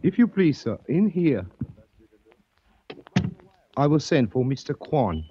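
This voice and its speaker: An actor playing an obsequious male shop clerk with a husky voice, stiffly formal in 1940s radio style. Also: fairly high pitch, sing-song, slow, slight background noise. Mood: deferential. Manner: stiffly formal, keeps saying sir. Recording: dull dry old radio recording.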